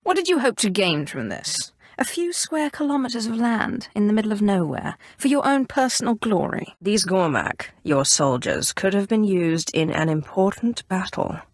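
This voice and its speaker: An actress playing a commanding, cool-headed Sith sorceress in a video game, haughty yet idealistic, speaking in a poised, measured, imperious way. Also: female voice, medium pitch, very animated, dry recording, clean studio sound. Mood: scornful, commanding. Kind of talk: scripted video game dialogue acting.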